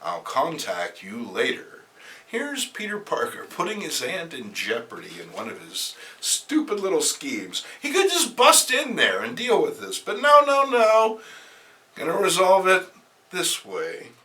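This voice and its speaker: A man's voice: high voice, huge pitch swings, measured pace, pushed and bright, distant mic. A man hosting a dramatic comic book reading, theatrical, with wry, sardonic asides.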